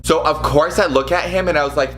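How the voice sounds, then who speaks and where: A young man telling a comedic storytime in a campy, over-the-top, self-mocking way, now and then breaking into shrill shouted imitations. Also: fast talker, over music. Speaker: vlogger.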